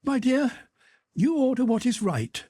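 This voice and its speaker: A woman's voice: a little high, big pitch swings, a little slow, dark tone, slightly rough, no room sound, voice pushed up, heavily acted. A man playing a flustered, defensive old countess. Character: flustered older countess, proud but shamefaced. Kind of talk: audiobook character voice.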